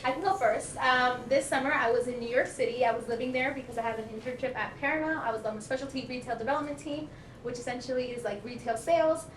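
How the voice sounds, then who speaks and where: Quick, bright-voiced young woman. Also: sits high. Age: young adult. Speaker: student podcast guest. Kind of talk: casual podcast chat. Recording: echoey room, faint noise.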